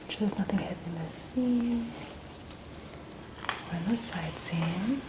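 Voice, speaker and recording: A soft, breathy woman, often whispering, doing a playful, coaxing ASMR roleplay as a security officer. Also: medium pitch, unhurried, very noisy. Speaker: ASMR performer role-playing a security officer.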